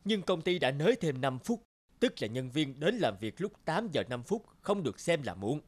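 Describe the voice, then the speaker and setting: A man voicing a stout, stern middle-aged company boss in an animated cartoon, speaking in a measured, lecturing way. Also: a little high, breathy edge. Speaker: voice actor. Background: background music.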